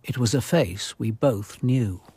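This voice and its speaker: An actor playing a measured, observant police detective narrating his investigation in a radio drama. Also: mid-range voice, warm tone, husky, no echo. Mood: measured, grave.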